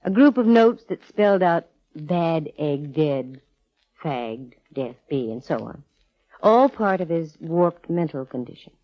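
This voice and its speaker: An American actress playing a sharp female private eye in 1940s radio drama, explaining things with dramatic, measured pauses. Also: deep voice, lively intonation, no room sound. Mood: solemn, explanatory. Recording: dull vintage radio recording with music.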